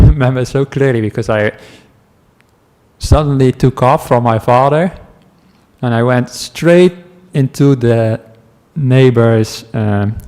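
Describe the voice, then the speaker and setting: A man from the Netherlands with a Dutch accent, a meditation teacher giving a relaxed talk to a class, telling stories with asides and small laughs. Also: medium pitch. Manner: gentle, chatty storytelling with asides and small laughs.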